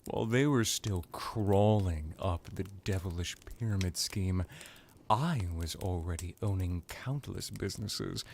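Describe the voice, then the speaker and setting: A man voicing an ancient, smug vampire crime lord in an ASMR roleplay, drawling with theatrical pauses and sarcastic condescension. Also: low voice, vocal fry, a little mellow, slight background noise, exaggerated voice.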